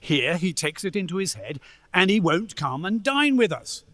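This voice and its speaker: A man playing a cheerful, good-natured nephew in a radio play, with a jovial, animated manner. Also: high-pitched, moderate pace, in a large hall, exaggerated voice. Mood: good-humoured. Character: cheerful, good-natured nephew amused by his miserly uncle.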